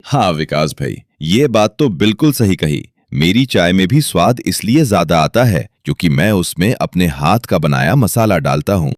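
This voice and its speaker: A man playing an agreeable tea seller in an animated story, proud of his own craft. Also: sits low, normal speed, few pauses, dry recording, clean studio sound. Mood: approving.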